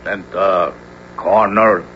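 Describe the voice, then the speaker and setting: Stern, slow-spoken radio drama warrior. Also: male voice, sits low, fairly even intonation. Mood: suspicious, puzzled. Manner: halting broken English, terse, solemn. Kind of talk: 1970s radio drama acting. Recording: muffled, noisy old radio recording.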